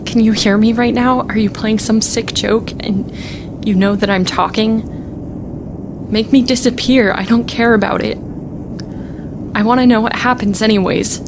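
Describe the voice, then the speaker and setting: A woman acting a weary young student, creaky voice. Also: a little high, steady tone, noisy background, natural voice. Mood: defiant, angry. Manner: halting, confessional, trailing off mid-thought. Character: weary, haunted young female student at a secretive space institute. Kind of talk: confessional sci-fi audio drama monologue.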